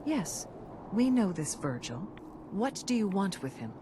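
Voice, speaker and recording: A woman's voice: mid-range voice, big pitch swings, measured pace, noisy background. A woman playing a solemn, cryptic priestess of a cult in a video game, speaking formally and reverently. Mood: guarded, solemn. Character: solemn female cult priestess guarding holy ground.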